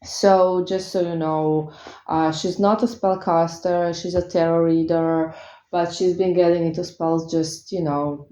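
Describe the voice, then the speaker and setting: Slow-talking Croatian-accented woman. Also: fairly low pitch, fairly even intonation, dark tone. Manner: hesitant, many fillers and restarts. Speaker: tarot reader and spellcaster. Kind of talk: casual talk to viewers. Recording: echoey room, dull lo-fi sound.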